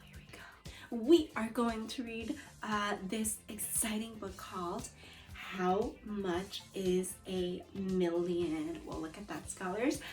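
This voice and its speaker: A woman with an American accent teaching a read-aloud lesson to young children, expressive and speaking straight to her pupils. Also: mid-range voice. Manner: animated, expressive, addressing pupils directly. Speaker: elementary school teacher.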